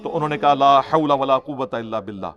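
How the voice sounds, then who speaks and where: A man's voice: mid-range voice, fast talker, lo-fi sound. A Pakistani man giving an informal religious lecture, arguing in a sarcastic, conversational way with rhetorical questions. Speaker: Islamic preacher and lecturer. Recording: music playing behind the voice. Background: music.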